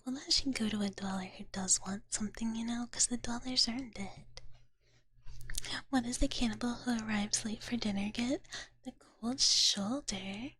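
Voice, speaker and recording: A woman streaming ASMR in a soft, breathy whisper, chatting casually and playfully with viewers up close to the microphone. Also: medium pitch. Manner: playful, speaks of self in third person. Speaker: ASMR livestreamer.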